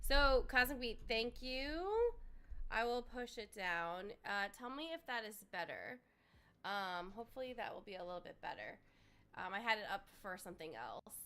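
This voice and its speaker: A woman's voice: medium pitch, expressive, normal speed, pushed and bright, clean tone. A woman hosting a casual livestream on a desk microphone, chatty and full of fillers as she talks to her chat. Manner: chatty, filler-heavy, addresses chat viewers. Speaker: livestream host.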